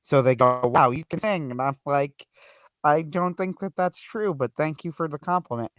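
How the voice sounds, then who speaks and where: A man's voice: a little high, moderate pace, dry recording. A man hosting a livestream, chatting casually in a self-deprecating way. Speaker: livestream host.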